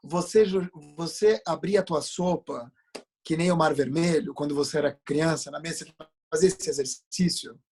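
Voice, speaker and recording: A man with a husky voice, hosting an informal comic interview on a video call in a teasing, interrupting manner. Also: fairly high pitch, moderate pace, a little mellow.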